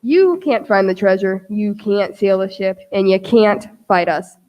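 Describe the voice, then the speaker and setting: Radio play captain, upright and stern female performer, medium pitch. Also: measured pace, a little mellow, close to an everyday voice.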